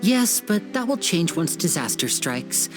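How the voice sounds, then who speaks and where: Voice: mid-range voice, sing-song, a little slow, music in the background. A voice actor playing a polite, showy young stage magician in a game cutscene dub. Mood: gentle. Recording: game score underneath.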